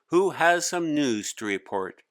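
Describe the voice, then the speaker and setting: A man's voice: on the high side, big pitch swings, measured pace, pitched above the performer's own voice. Man playing an exasperated, commanding schoolteacher, raised voice. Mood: brisk. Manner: commanding, scolding. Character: tall, exasperated, stern schoolteacher. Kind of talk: children's storybook read-aloud.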